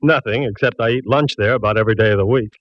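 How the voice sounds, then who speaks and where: An actor playing a jovial middle-aged police inspector with a breezy, teasing, folksy manner, from a dull old radio broadcast. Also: male voice, lowish voice, rapid-fire, no echo, close to an everyday voice. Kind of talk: 1940s radio acting.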